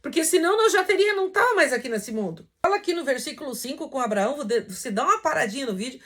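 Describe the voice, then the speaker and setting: A Brazilian woman with a high-pitched, very lively voice preaches in an informal, teacherly way. She reads scripture with deliberate weight and explains it in conversational, emphatic phrases, her intonation rising and falling widely. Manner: emphatic, didactic, slow when reading verses aloud, conversational asides. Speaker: preacher teaching a Bible lesson. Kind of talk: informal sermon with scripture reading.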